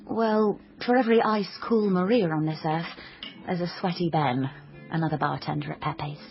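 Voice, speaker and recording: Bookish young dreamer, dull-sounding with music. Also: female voice, lowish voice, moderate pace, vocal fry. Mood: dreamy, wry. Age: young adult. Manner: dramatic storytelling, self-deprecating. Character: bookish, awkward 21-year-old dropout woman, daydreaming of crime novels. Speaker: narrator and lead character. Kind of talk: radio drama, first-person noir-flavoured narration and dialogue.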